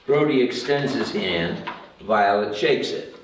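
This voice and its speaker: A man's voice: lowish voice, animated, takes it slowly, background noise. A man reading out stage directions in scripted narration at a table read. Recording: very echoey room, distant mic.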